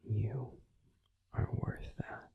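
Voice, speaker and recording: A man playing a grumpy but tender werewolf boyfriend in an intimate, slow, soothing whispered sleep-aid roleplay. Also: phone-quality sound. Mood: tender, reassuring. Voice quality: breathy, husky whisper.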